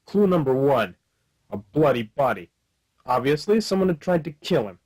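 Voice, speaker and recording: An actor playing a wry, hard-boiled 1940s Chicago private detective in a radio play, with a deadpan manner. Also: male voice, on the high side, moderate pace, husky.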